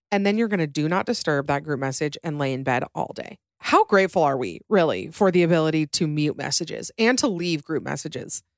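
A woman's voice: fairly low pitch, no room sound, studio quality. A woman podcast host reacting to listener voicemails in a chatty, wry and joking way. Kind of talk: casual chat reacting to voicemails.

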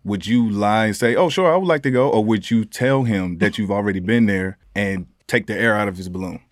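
Low-voiced man asking mock-serious questions. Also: fairly quick, no room sound. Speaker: panel member on a comedy dating bit.

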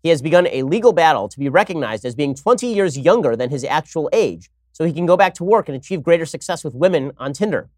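A man hosting an opinionated political commentary show, talking in a wry, sarcastic way and moving briskly from segment to segment. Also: fairly high pitch, expressive, a little mellow, no echo, clean studio sound. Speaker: host.

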